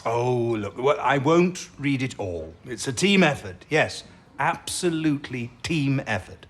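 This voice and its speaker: Casting: avuncular older British codebreaker chief, chuckling, modest, very expressive pitch, unhurried pace. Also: male voice. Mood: modest, flustered. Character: jovial older British male codebreaking boss, fond and modest. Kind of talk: period radio drama acting.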